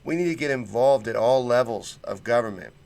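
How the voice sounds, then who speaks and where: A man's voice: mid-range voice, animated, a little fast, gravelly, darkish tone, a little hiss or hum. A man hosting a casual political livestream, reading chat comments and talking bluntly with fired-up conviction and folksy slang.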